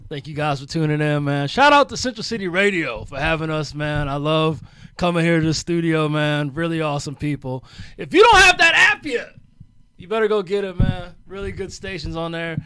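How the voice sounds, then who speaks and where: A man hosts a radio comedy chat in a studio, upbeat and laughing often. His voice sits high and swings widely in pitch. The sound is close and dry. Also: animated, a little fast, bright, forceful tone, clear, resonant tone, no echo. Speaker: radio show host.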